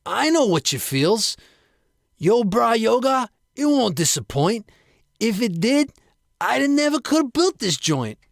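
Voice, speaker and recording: A man's voice: extremely high pitch, sing-song, measured pace, gravelly, dry recording, clean studio sound, pitched above the performer's own voice, exaggerated voice. A man voicing a burly, good-natured cement worker in a slangy tough-guy delivery for a storytelling piece. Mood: enthusiastic.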